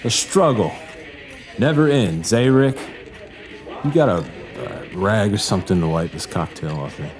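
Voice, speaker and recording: A man playing a crude, callous, hot-tempered barfly in an audio drama, dismissive and quick to swear. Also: fairly low pitch, animated, music in the background. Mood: irritated.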